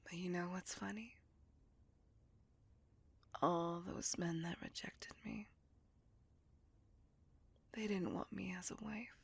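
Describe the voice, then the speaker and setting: Breathy, whispering melancholy vampire woman, acted out. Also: fairly low pitch, steady tone, exaggerated voice. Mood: bitter, resigned. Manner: slow, intimate, confessional storytelling. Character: beautiful, melancholy, bitter female vampire recounting her past. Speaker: voice actor in audio roleplay. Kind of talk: whispered ASMR roleplay monologue.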